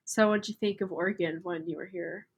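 A woman's voice: on the low side, fairly even intonation, a little fast, vocal fry, slightly rough, lo-fi sound. A young woman asks the questions on a college radio show in a studio. Her voice is stuffy and nasal from allergies. She keeps a friendly interview pace.

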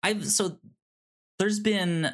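A man chatting casually as a podcast co-host, halting and often repeating words as he works out his thought. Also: sits high. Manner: halting, stammering, repeating words and syllables as he goes. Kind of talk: casual film discussion chat.

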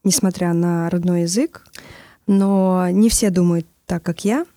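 A woman's voice: lowish voice, steady tone, unhurried, mellow, no room sound, clean studio sound. A woman from Estonia hosting a podcast, speaking earnestly and a little hesitantly, asking open questions. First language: Russian. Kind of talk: podcast conversation.

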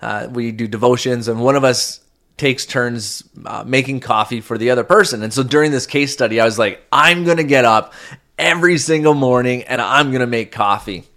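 A man's voice: mid-range voice, brisk, pushed and bright. A man co-hosting a faith-based marriage podcast, talking earnestly with frequent restarts and fillers. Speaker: podcast co-host.

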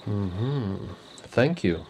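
A man's voice: fairly low pitch, animated, very slow, background noise, heavily acted. An actor playing a blunt, self-satisfied prime minister in his sixties, wry and teasing, in a radio drama. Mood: self-assured. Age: 60s. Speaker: politician character.

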